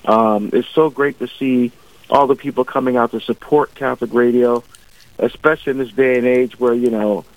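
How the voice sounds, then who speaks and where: A man's voice: medium pitch, steady tone, normal speed, dry recording. A middle-aged man from Barbados, a deacon calling in to a radio show, speaks in a mid-range voice with a preacher's emphasis. The phone line dulls his voice, and it sounds dry, with no room around it. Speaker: deacon guest. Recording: muffled phone-line interview audio.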